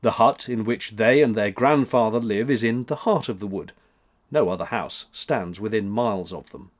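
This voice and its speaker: Male storyteller, mid-pitched, expressive. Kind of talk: expressive scripted story narration.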